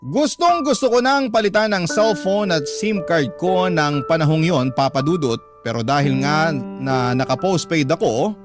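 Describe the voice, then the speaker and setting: A Filipino man reads a first-person story for radio drama. His pitch is a little high and the delivery is dramatic and scripted. Music plays clearly behind him. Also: expressive, unhurried. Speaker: radio drama narrator reading a letter sender's story. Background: dramatic background music.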